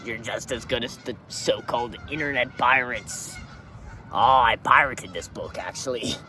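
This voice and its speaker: A crude, swaggering pirate who rambles through offhand rants, dropping "matey" at every turn, as a man puts him on. The voice is bright and forceful, with a clear edge, over faint outdoor noise. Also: mid-range voice, normal speed. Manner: pirate slang, keeps saying "matey". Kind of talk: comic character monologue.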